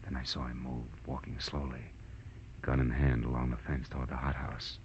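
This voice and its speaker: An actor with an American accent playing a tough, wry private detective, terse and slangy, in 1940s radio style. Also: male voice, extremely low pitch, level tone, normal speed, a little hiss or hum. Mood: wry, tense. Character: hard-boiled male private detective narrator, tough and wry. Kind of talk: 1940s radio acting, first-person detective narration. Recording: dull old radio recording with music.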